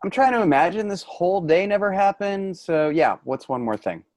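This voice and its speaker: A man's voice: sits high, lively intonation, normal speed, darkish tone, dry recording. A man in a staged comedy reading playing a weary, sardonic office worker, reluctant and exasperated. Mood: resigned, sardonic.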